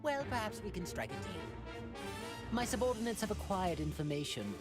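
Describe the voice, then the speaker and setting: A man's voice: high voice, sing-song, normal speed. A man voicing a cruel, smug alien tyrant who schemes with mocking courtesy, in theatrical anime game style. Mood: sly bargaining. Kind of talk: dubbed anime video game acting. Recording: loud music behind the voice.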